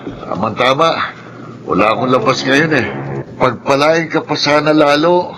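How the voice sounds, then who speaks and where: An actor playing a flattering, wheedling pier crony in a radio drama, chuckling and ingratiating, with a Filipino accent. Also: male voice, medium pitch, normal speed, close to an everyday voice. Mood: teasing, flattering. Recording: clear vehicle and street ambience noise.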